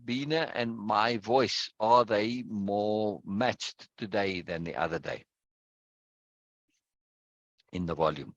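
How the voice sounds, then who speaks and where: A man leading a casual chat over a video call on a close boom mic, explaining his point at length and often checking "right?" or "yes?". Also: a little slow, dry recording, dull audio. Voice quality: loud. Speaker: discussion leader.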